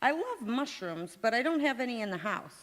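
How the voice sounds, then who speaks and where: A woman's voice: on the high side, sing-song, fairly quick, a bit bright. A woman playing a breezy, naive, overconfident housewife in a vintage radio comedy on stage. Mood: breezy, confident. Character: scatterbrained, cheerfully overconfident housewife in a radio sitcom. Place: large indoor hall, live stage performance. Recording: reverberant church hall stage recording.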